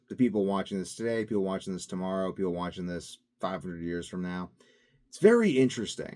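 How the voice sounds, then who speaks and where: A young man talking casually to camera, wandering from thought to thought and swinging between loud bursts and mumbled asides. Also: sits low. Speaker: vlogger. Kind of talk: casual rambling monologue.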